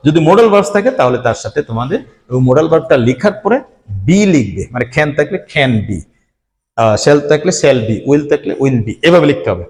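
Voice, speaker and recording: A man's voice: on the high side, sing-song, fairly quick, a little mellow. Man teaching grammar step by step. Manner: explains step by step, addresses students directly. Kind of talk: whiteboard grammar lesson.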